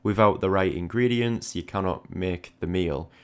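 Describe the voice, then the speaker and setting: A man's voice: deep voice, normal speed, clear, resonant tone. A man giving reflective, conversational advice as an art channel host, often repeating words as he thinks.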